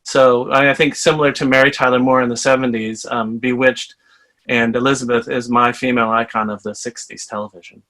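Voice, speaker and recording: A man from Iowa talks at length and with enthusiasm, laughing a little as he goes. His voice is bright and forward, at a middle pitch. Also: steady tone, a little fast, rough recording. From Iowa, USA. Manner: chatty, enthusiastic, laughs while talking. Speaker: fan guest. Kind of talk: casual self-introduction.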